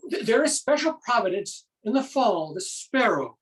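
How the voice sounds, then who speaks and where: A woman's voice: fairly high pitch, big pitch swings, normal speed, distant mic, rough recording. A woman playing a flustered accountant stranded onstage as a Shakespearean actor, rambling nervously in a theatrical monologue. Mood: grasping, reciting. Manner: rambling, nervous, stammering asides.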